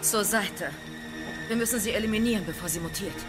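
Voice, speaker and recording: An actress playing a cool, ruthless secret agent in an audio drama, curt and businesslike. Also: female voice, medium pitch, fairly quick, over music, natural voice. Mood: cold, determined.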